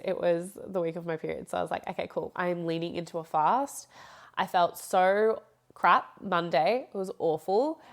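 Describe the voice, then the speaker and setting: A young woman hosting a podcast, talking casually and rambling with frequent laughs, her voice nasal from a blocked nose. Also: lowish voice. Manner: chatty, rambling, laughs often. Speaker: podcast host. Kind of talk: casual solo podcast talk.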